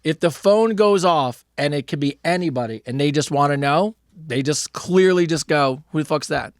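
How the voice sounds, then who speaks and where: A man's voice: a little high, normal speed, no room sound, clean studio sound. A middle-aged man co-hosting a casual comedy podcast, telling loose rambling stories and reading out listener questions, with plenty of restarts and swearing.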